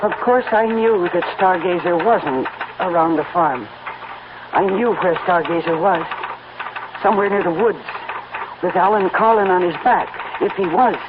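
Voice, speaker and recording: A woman's voice: on the low side, normal speed, natural voice. A dull, hissy vintage radio play. An actress plays an anxious woman who owns horses, speaking earnestly and with raw emotion. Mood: tense narration. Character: anxious, devoted woman horse owner, prone to anguish. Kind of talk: radio drama acting and first-person narration. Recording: muffled, noisy old radio recording.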